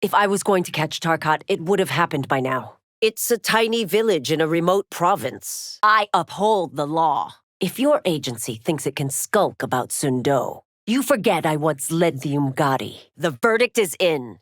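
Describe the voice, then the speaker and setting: A woman's voice: low voice, animated, moderate pace, hardly any pauses, no echo, clean studio sound. A woman playing a stern, proud veteran constable in a video game, speaking in formal, clipped, authoritative declarations. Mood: stern, commanding, then cold, challenging. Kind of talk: video game fighter voice lines, dramatic acting.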